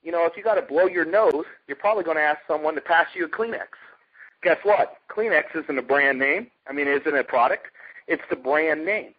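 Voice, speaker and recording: A middle-aged American man with a fairly high, husky voice delivers an informal marketing pitch over a muffled conference phone line. He tells it like a story, leaning on key points and throwing out rhetorical questions. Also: fairly quick. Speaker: network marketer pitching a company. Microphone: telephone conference call.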